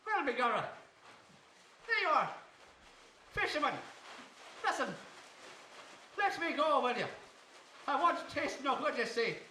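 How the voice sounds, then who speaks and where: A man's voice: very high voice, lively intonation, a little slow, long pauses, sharp tone, full character voice. A man voicing an evasive, pleading talking fish with a put-on Irish accent in a comic audio story, distant and echoey. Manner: pleading, defensive, matey. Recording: echoey, distant, faint noise.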